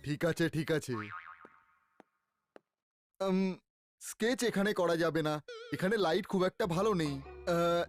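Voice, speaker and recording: Elderly cartoon granddad, bluffing, hesitant, theatrical, light background music. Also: male voice, high-pitched, a little slow, pauses often. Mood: fussy. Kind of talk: cartoon voice acting. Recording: music behind the voice.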